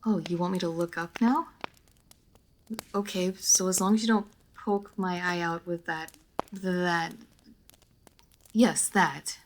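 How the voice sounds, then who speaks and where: A woman playing a refined, reserved vampire noblewoman in a roleplay audio drama, speaking formally with measured diction, hesitant pauses and dry playfulness. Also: fairly low pitch, steady tone, slight background noise, low-quality recording. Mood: flustered, dryly playful. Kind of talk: roleplay audio drama, intimate monologue to listener.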